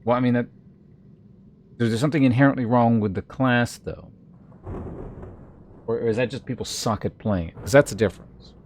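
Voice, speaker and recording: A man's voice: medium pitch, moderate pace, pauses often, darkish tone. A man chatting and commentating on a live game stream in a rambling, wry way, with faint noise on the recording.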